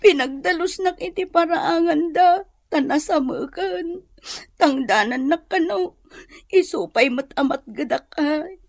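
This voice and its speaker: A woman's voice: sits high, measured pace, creaky, no echo, studio quality. A woman with an Ilocano accent playing a meek, wronged village wife in a radio drama, plaintive and pleading. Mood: tearful, aggrieved.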